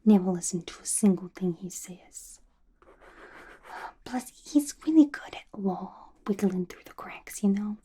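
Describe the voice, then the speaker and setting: A woman's voice: mid-range voice, lively intonation, unhurried, dark tone, breathy edge. A woman playing a playful, unhinged, gossipy female villain inmate in a chatty, conspiratorial whispered ASMR roleplay. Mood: confiding, catty.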